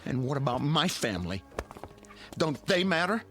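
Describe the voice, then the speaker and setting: A man's voice: fairly high pitch, very animated, normal speed, fairly bright tone, faint music behind. An actor playing a desperate suburban father in a radio drama, pleading and stammering. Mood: desperate anger.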